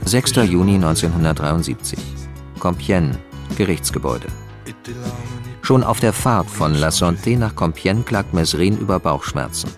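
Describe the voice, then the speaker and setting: Low-voiced male narrator over guitar music. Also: expressive, normal speed. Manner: factual, announcing. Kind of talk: scripted documentary-style radio drama narration.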